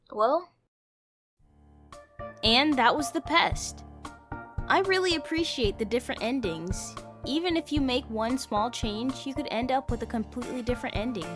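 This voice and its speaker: A woman hosting a playthrough, reading visual novel narration aloud and adding her own commentary. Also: fairly high pitch. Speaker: host of a video game playthrough channel. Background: background music.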